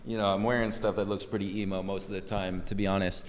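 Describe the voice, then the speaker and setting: A man's voice: sits low, level tone, fast, in a large hall. A man in his thirties, a podcast guest, talking in a goofy, laid-back, joking way into a handheld mic. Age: 30s. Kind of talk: casual chat. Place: room with dark backdrop, seated in a folding chair.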